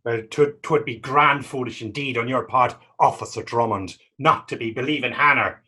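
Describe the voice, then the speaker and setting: A man's voice: medium pitch, moderate pace, sharp tone, breathy edge. An actor playing a defiant, superstitious young man, goading and indignant in folksy dialect in a stage reading. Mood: ominous. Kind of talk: stage readers theater acting.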